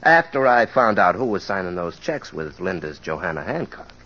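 An actor playing a cynical, wisecracking private investigator in an old radio drama, breezy and slangy with a raspy voice. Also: male voice, sits low, very animated, normal speed, full character voice. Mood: smug. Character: cynical, sardonic male private investigator, breezy wise guy. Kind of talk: 1940s-50s radio drama acting. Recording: dull old radio recording.

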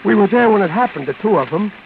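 A man's voice: high-pitched, animated, quick pace, creaky, background noise. Wry, nervous guy on tinny old radio. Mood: anxious. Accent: American. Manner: slangy, wry, stammering. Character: nervous ordinary wisecracking guy narrating his crime jam. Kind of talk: 1940s radio acting, hardboiled first-person narration. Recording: tinny old radio broadcast with loud music.

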